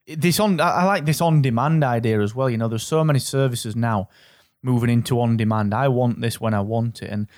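A man's voice: mid-range voice, a little fast, darkish tone, dry recording, studio quality. A man hosting a podcast, encouraging his guest in an upbeat way. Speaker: podcast host. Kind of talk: podcast interview.

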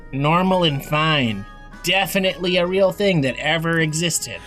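A woman's voice: low-pitched, a little slow, fairly bright tone, faint music behind. A woman playing a dry, sardonic, laid-back friend in a naturalistic audio drama. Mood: wry.

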